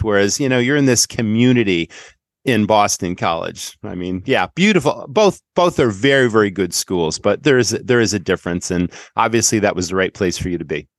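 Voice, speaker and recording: A man's voice: fairly low pitch, dry recording, clean studio sound. A man hosting an interview, enthusiastic, asking long follow-up questions. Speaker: podcast host. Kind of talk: casual interview.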